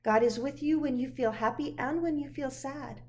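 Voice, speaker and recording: A woman voicing a gentle, patient parent answering a child in a measured, reassuring way, from a picture book. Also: high-pitched, a little hiss or hum, close to an everyday voice.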